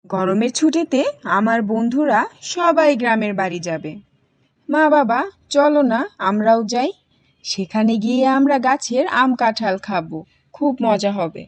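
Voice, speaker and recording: A woman's voice: on the high side, expressive, measured pace, no echo. A woman voicing a stubborn, insistent schoolboy who begs his parents to go to the village, in a cartoon. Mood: pleading. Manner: pleading, insistent. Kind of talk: cartoon voice acting.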